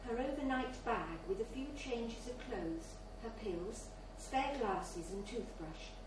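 A woman's voice: on the high side, animated, takes it slowly. Woman reading a story, very echoey room. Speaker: reader at a live short story event. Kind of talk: scripted short story reading, literary narration. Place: bar, live reading event. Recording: very echoey, distant mic, faint noise.

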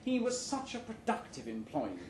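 A man voicing a brisk, falsely cheerful female head of human resources in a live story reading, smoothly corporate in manner. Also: sits high, huge pitch swings, a little mellow, pitched above the performer's own voice. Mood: sighing regret. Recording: roomy, noisy live venue recording.

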